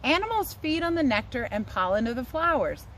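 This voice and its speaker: Lady teacher doing a kids story time outside, highish voice that goes up and down a lot, chatty asides, bit of outdoor noise. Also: very animated, a little fast, fairly bright tone. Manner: addresses young listeners directly, encouraging. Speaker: teacher reading a picture book aloud. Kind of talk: children's read-aloud with casual asides. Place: outdoors under trees near a house. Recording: outdoor background noise, passing aircraft.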